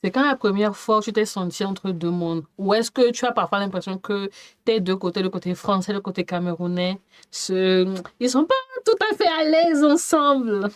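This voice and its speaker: A woman hosting a conversational podcast interview, attentive and quick to murmur agreement with her guest. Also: mid-range voice, no echo.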